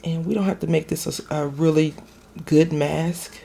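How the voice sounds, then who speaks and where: A woman hosting a craft video, chatting casually as she stamps a card. Her voice is raspy and a little hoarse from being sick, and she rambles and corrects herself as she goes. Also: sits low, fairly even intonation, normal speed, dark tone. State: recovering from illness, hoarse. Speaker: craft channel host.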